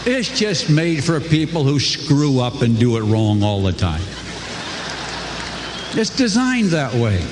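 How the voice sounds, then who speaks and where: An older man giving a folksy, earnest motivational speech to a crowd, his pitch swooping for emphasis. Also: sing-song, slow, noisy background. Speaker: company co-founder speaking to a crowd. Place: large hall before an audience. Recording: echoey hall, faint ambience.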